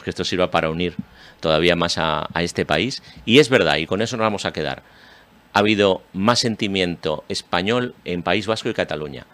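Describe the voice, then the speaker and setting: A man's voice: deep voice, fairly bright tone. A man with an accent from Spain, hosting a panel discussion and speaking emphatically, often repeating phrases. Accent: Spanish from Spain. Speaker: host.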